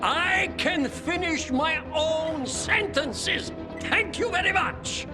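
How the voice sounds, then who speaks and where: An actor playing an excitable older bomb maker in a video game, stammering and theatrical as he fumbles for words. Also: very high-pitched, slow, over music. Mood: frantic. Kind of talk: dramatic video game acting.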